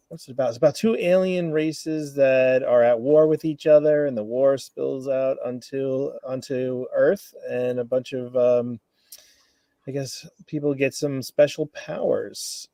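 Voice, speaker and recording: A man's voice: medium pitch, creaky, dark tone, no echo. A man chatting loosely and casually as a guest on a video call, often saying "you know". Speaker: guest, radio play organizer. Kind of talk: casual chat.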